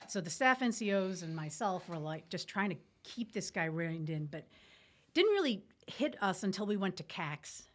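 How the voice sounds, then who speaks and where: A woman's voice: on the low side, sing-song, normal speed. A woman telling a story informally as a podcast guest, candid and colloquial, with military jargon.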